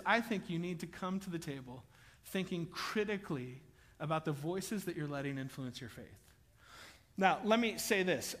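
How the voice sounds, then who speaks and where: A man preaching a sermon in a church auditorium, chatty and joking with the congregation, then building to loud, emphatic bursts. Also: sits high, big-room sound. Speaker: pastor.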